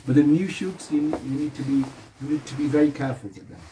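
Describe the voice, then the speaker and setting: Earnest man, dark mellow voice. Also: fairly high pitch, animated, fast, a little hiss or hum, rough recording. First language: Urdu. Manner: earnest, repeats phrases, asks "you understand me?". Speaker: religious elder giving advice. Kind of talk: casual chat in a gathering. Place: carpeted room in a house, seated on the floor.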